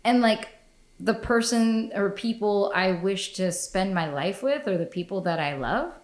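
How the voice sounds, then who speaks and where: A woman's voice: mid-range voice, lively intonation, unhurried. A woman hosting a podcast in casual chat, blunt and profane, laughing often. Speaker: podcast host. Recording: echoey room.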